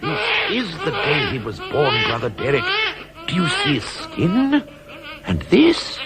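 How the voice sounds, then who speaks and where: A man's voice: a little high, wildly swooping pitch, slow pace, heavily acted. A man playing a fussy but kindly senior monk in a 1970s radio play, exclamatory and theatrical. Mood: scolding, amazed.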